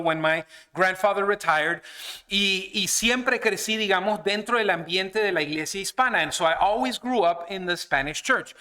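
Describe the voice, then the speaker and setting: A man's voice: high-pitched, sharp tone. A man from Canada, a guest pastor, preaching and praying in a church, switching each phrase between two languages in an earnest, personal manner. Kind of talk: bilingual sermon and prayer. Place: church sanctuary.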